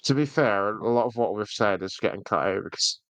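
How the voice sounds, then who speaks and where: A man's voice: fairly low pitch, no room sound. A man co-hosting a podcast, giving reviews in a rambling, jokey, casual way. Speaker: podcast co-host.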